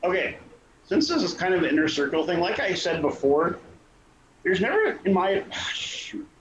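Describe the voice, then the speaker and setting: A man's voice: high-pitched, big pitch swings, moderate pace, a bit bright, lo-fi sound. A man chatting casually on a panel in a joking, teasing manner. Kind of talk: casual chat. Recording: echoey room with background noise.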